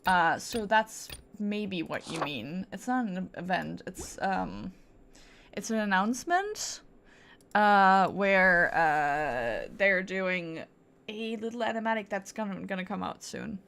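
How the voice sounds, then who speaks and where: A woman's voice: mid-range voice, expressive, takes it slowly, creaky, fairly bright tone. A woman chatting casually as she streams a game, thinking aloud and correcting herself in a playful, rambling way. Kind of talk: casual livestream chat while gaming.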